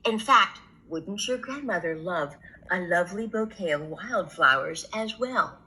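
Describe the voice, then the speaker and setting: A woman as a sly storybook wolf, bright-edged. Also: lowish voice, lively intonation, rough recording. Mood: sly, charming. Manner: oily politeness with drawn-out, teasing words. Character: sly, hungry, smooth-talking male big bad wolf. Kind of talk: expressive children's storybook reading.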